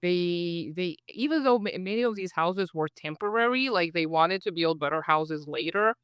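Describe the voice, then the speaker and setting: A man talks as a podcast guest from home, telling childhood stories in a friendly, reflective way. His voice is smooth and clear and sits a touch high. The sound is close and dry. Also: expressive, normal speed, a bit bright, dry recording. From Kazakhstan. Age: 30s. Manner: friendly, reflective storyteller with frequent "uh" and "so". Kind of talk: casual interview chat.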